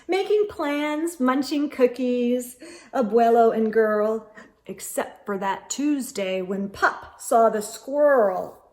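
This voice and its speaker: A woman reads a children's picture book aloud as a storytime host. Her voice is high and her pace is unhurried. She is animated and emphatic, addressing young listeners directly.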